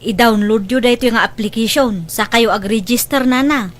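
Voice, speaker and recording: Woman acting a high-voiced, pushy village schemer. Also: normal speed, lightly acted. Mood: eager, coaxing. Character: pushy, money-anxious village woman recruiting relatives into a scheme. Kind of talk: scripted audio comedy drama dialogue.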